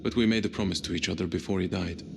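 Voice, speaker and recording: Deep gravelly man, reflective crewmate. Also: steady tone, rapid-fire, background noise. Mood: wistful, sincere. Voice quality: low, gravelly. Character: reflective, hopeful widowed man on a space crew. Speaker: video game character, spacecraft crew member. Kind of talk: cinematic game acting, restrained dialogue.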